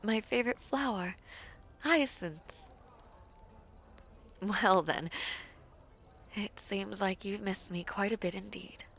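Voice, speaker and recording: A woman playing a devoted, teasing princess in a romantic audio roleplay, speaking formally and coyly with breathy, whispery softness. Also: medium pitch, slight background noise. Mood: delighted. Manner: slow, formal, coy, often dropping to breathy whispers.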